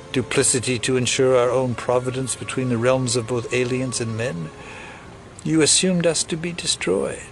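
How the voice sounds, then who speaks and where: An actor playing a cold, scheming older conspirator who talks in formal, condescending, wordy sentences in an audio drama. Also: male voice, medium pitch, lightly acted. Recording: clearly noisy background ambience.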